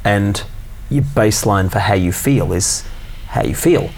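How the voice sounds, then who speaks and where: A man with a lowish voice talks casually, recounting events in a relaxed, halting way, full of fillers and restarts. A faint background hiss sits under his voice. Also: low-quality recording. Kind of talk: casual chat.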